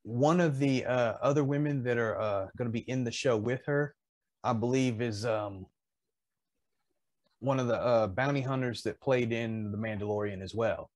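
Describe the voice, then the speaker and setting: A man in his fifties chatting casually as a podcast co-host, often pausing mid-thought. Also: mid-range voice, a little mellow, no echo. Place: indoors in a home collectibles room.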